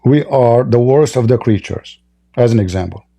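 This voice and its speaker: A man's voice: mid-range voice, moderate pace, gravelly, warm tone, no room sound. A man from the Middle East whose first language is Arabic, speaking English with an Arabic accent as a live debate host, combative and mocking, firing off rhetorical questions.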